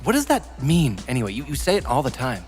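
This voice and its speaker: A man's voice: mid-range voice, wildly swooping pitch, fast, loud music behind the voice. An actor playing an earnest, humorless, gloomy hitman in a comedic anime parody, serious and exasperated. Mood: glum, exasperated. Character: earnest, gloomy male poison-using hitman, humorless straight man.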